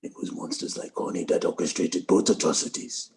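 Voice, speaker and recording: A man reading the part of a resolute, sorrowful soldier bent on revenge, in a quiet, deliberate, grave way. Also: low-pitched, steady tone, gravelly. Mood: bitter grief. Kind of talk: dramatic script table read.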